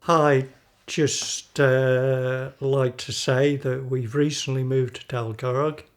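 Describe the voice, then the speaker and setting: Older male community member, unhurried, level intonation, reflective testimony. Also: medium pitch, flat delivery. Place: indoors on a living room sofa.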